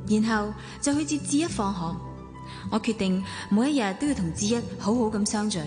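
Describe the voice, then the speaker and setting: A woman's voice: medium pitch, brisk, music in the background, lightly acted. Actress as earnest wealthy young mother, reverberant. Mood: resolute. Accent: Hong Kong Cantonese. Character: troubled wealthy wife and devoted mother, starting afresh. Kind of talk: Hong Kong radio drama acting and first-person narration.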